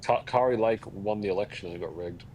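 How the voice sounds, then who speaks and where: A man's voice: quick pace, over a noisy crowd, low-quality recording. A man from Australia calling into a livestream debate, arguing casually in an evasive, flippant way. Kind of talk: casual argumentative chat. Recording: faint rumbling background noise.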